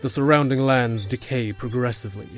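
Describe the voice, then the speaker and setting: A man's voice: medium pitch, a little fast. A man delivering scripted narration for a fantasy audio drama. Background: music.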